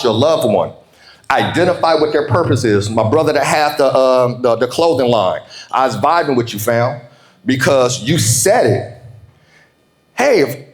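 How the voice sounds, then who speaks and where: A man, middle-aged, delivering a motivational speech in an informal, folksy way, calling on his listeners and slowing down for emphasis on key phrases. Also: mid-range voice. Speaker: motivational keynote speaker. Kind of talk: motivational keynote speech to an audience.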